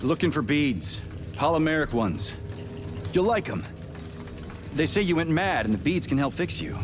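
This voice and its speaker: Gruff, animated action-game soldier. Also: male voice, on the high side, moderate pace, background noise. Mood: matter-of-fact. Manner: gruff, curt, exclamatory. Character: gruff, grumpy, naive male soldier, irritable and blunt. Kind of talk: video game character acting.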